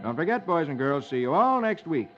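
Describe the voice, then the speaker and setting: A lively, higher-voiced American man tells comic stories to children in theatrical old-time radio style. His articulate reading swings widely in pitch. The recording is muffled, with music underneath. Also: brisk. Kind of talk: animated 1950s radio storytelling for children.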